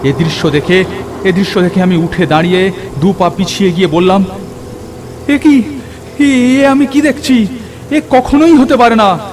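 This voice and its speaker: A man playing an earnest, excitable friend who tells a cartoon horror story in the first person, in an expressive, theatrical way. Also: high-pitched, unhurried, pauses often, noisy background. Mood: alarmed, frightened. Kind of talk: dramatic scripted first-person horror narration. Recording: clear rain and thunder over voice. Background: rain and thunder effects.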